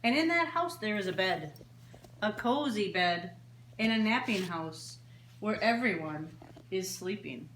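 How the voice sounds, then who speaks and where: A woman with a mid-pitched voice reads aloud as a children's storyteller, in a roomy, echoing space with faint noise behind her. She phrases things simply and deliberately for small listeners, in an animated read-aloud style. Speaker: storyteller reading picture books to children.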